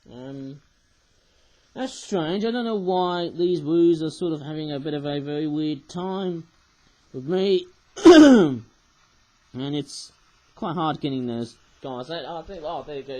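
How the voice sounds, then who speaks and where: A young man with a croaky voice, rambling hesitantly as he hosts a casual crafting vlog, full of filler phrases. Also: a little high, a little mellow, a little hiss or hum, poor audio. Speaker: craft channel host.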